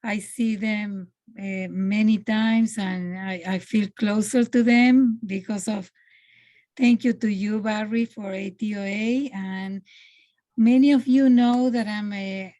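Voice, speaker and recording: A woman from Argentina with an Argentinian Spanish accent, presenting her art on a video call, halting and searching for words. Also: medium pitch, fairly even intonation, warm tone. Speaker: presenting artist. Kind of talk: online artist presentation.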